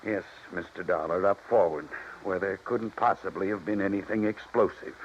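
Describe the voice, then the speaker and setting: An actor playing a bitter betrayed husband in a 1950s radio drama, intense and halting. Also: male voice, low voice, steady tone, lightly acted. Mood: shaken, relieved. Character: bitter betrayed husband, a yacht owner who survived sabotage. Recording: noisy, dull 1950s radio recording.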